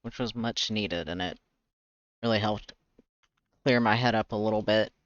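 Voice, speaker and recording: A man with a slightly raspy voice chatting casually on a livestream, rambling and pausing to search for words. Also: on the low side, no room sound, highs cut off. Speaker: streamer, webcomic artist. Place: indoors, livestream.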